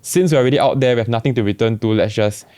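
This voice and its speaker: A young man with a Singaporean accent, telling his story in a relaxed, reflective way with many restarts. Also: mid-range voice, rapid-fire, dry recording. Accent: Singaporean English. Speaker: barber guest. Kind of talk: casual podcast chat.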